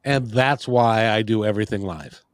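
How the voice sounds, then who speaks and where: A man's voice: lowish voice, normal speed, no echo, studio quality. A middle-aged man hosting a podcast, talking casually and rambling, with frequent restarts. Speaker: podcast and radio show host. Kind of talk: casual interview chat.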